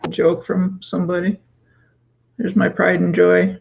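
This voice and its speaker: A woman's voice: on the low side, monotone, unhurried, gravelly. A woman in her fifties co-hosting a livestream, chatting freely and laughing often during a question game. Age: 50s. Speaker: co-host of a livestream. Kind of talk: casual question-game chat. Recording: dull lo-fi stream audio.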